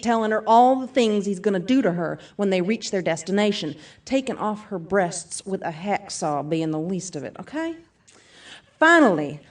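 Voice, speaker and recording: A woman's voice: mid-range voice, a little mellow, far from the mic, lightly acted. An actress playing a brash, upbeat self-defense seminar speaker who addresses her audience emphatically in an audio theatre piece. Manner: emphatic, peppy motivational-speaker delivery, folksy asides.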